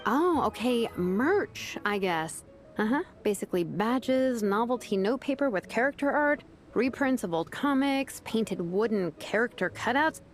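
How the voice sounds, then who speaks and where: A practical young craftswoman with a sardonic, deadpan manner. Her voice sits a little high. Faint game music runs in the background. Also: a little slow. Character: dry, practical young woman craftswoman, reluctant vice captain. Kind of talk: video game voice acting.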